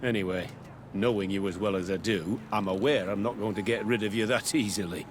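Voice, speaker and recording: An actor playing a guarded, commanding military captain in a video game, speaking in a measured, curt and authoritative way. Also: male voice, fairly low pitch, steady tone, noisy background, close to an everyday voice. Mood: guarded, official. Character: guarded, commanding male captain running a secret military camp. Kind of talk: video game dialogue acting.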